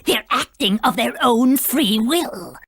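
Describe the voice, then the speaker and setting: An actress playing a proud, aged female sage in a video game, husky, formal and haughty, stern yet kindly. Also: fairly high pitch, expressive, slow, fairly bright tone, dry recording, exaggerated voice. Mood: grave, explaining.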